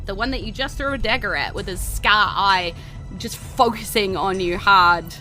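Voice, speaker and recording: A woman's voice: medium pitch, normal speed, sharp tone, soft background music. A woman with a New Zealand accent running a tabletop fantasy game, narrating informally and playfully with vivid storytelling and casual banter.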